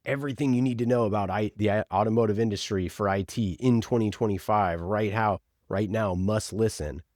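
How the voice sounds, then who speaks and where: Deep voiced podcast producer guy, opinionated, talks informally, dry sound. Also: fairly quick, a little mellow, dry recording. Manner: emphatic, informal, opinionated, acts out imagined quotes. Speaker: podcast producer, interview guest. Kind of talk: conversational marketing advice.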